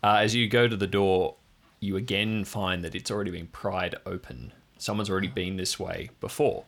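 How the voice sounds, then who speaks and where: A man's voice: low voice, fairly even intonation, normal speed. A man acting as game master, narrating an improvised tabletop game and describing scenes to players.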